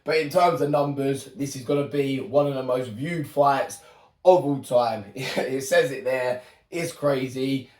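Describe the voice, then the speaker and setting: A man with a hoarse, flu-worn voice, talking bluntly and swearing freely in a casual boxing prediction monologue. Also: medium pitch, a little slow, a little mellow, far from the mic. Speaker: boxing YouTuber. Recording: echoey room, off-mic.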